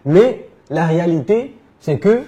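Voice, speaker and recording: A man with a West African French accent, answering conversationally as an interview guest, telling his experience in an animated way. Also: sits high, a little slow, dull audio. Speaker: interview guest, entrepreneur and coach.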